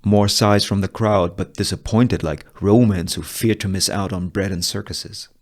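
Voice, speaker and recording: A man's voice: sits low, steady tone, moderate pace. A man reading stage directions as scripted narration at a screenplay table read.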